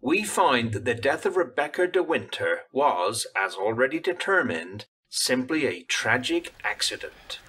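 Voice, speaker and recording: An actor playing a formal jury foreman in a radio drama, delivering his words as measured declarations. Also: mid-range voice, very animated, bright, forceful tone, far from the mic. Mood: solemn. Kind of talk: old-time radio drama acting.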